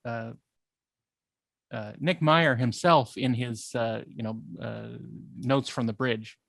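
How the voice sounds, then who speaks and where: A man with a gravelly, fry-heavy voice talks casually as a podcast co-host. He sounds hesitant, pausing and searching for words, in a dry recording with no room sound. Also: mid-range voice, fairly even intonation, dry recording. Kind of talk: casual panel discussion.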